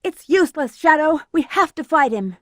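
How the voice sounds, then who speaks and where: Flirty female game bat, animated delivery, breezy, teasing, close dry recording. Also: high-pitched, normal speed, dry recording. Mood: cautious. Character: sly, flirty, playful adult female bat treasure hunter. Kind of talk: video game voice acting.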